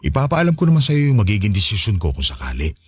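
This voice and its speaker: Deep-voiced Filipino father played by an actor. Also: huge pitch swings, fast talker, darkish tone, slightly rough, no echo, exaggerated voice. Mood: hesitant, serious. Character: gentle widowed father of a college student daughter. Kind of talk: Filipino radio drama acting.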